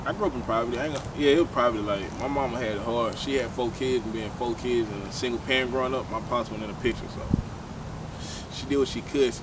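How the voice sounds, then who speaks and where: A man's voice: mid-range voice, distant mic, background noise. A man, talking loosely in a casual interview and often restarting his phrases. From Shreveport, Louisiana, USA. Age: 20s. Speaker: guest, tattoo artist.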